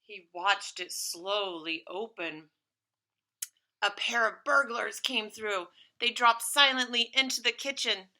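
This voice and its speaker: Lady teacher reading a kids book out loud, high voice, super bright, really expressive. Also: slow. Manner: expressive, dramatic storytelling. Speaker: teacher reading aloud to pupils. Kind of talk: animated storybook read-aloud.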